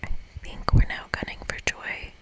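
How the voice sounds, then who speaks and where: An ASMR creator reading through a game in a breathy, unvoiced whisper, with soft narration and casual asides. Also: normal speed.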